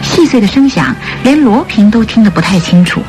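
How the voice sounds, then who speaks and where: A woman narrating a radio drama from a script in an expressive storytelling manner. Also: mid-range voice, a little fast. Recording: studio radio play with music bed.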